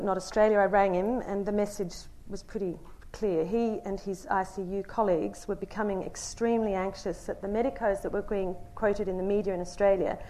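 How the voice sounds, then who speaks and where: A woman from Italy, a newspaper correspondent, giving a prepared panel talk in an articulate, measured way with dry wit. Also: mid-range voice, dark tone, poor audio.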